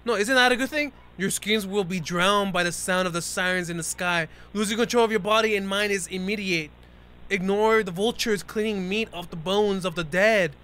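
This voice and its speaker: A man's voice: high-pitched, moderate pace, smooth. A young man hosting a reaction video, joking and yelping at the scares, talking into a headset mic in a small bedroom setup. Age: young adult. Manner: jokey and animated, bursts into yelps and laughs. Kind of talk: casual commentary reacting to a horror video. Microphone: headset with desk mic.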